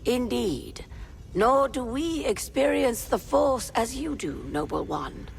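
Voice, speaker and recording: Slow, creaky-voiced reverent scholar-hermit. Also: female voice, on the high side, lively intonation, heavily acted. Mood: serene, solemn. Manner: formal, solemn, deliberate. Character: serene scholarly female anchorite, reverent and measured. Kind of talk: scripted video game dialogue.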